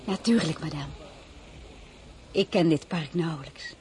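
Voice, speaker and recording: A woman's voice: low voice, a little slow, a little mellow, slight background noise. A woman playing a proud, candid young royal woman in a radio drama, speaking formally and with restraint. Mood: guarded, resolute. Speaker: lead actress and first-person narrator. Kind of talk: radio drama acting and narration.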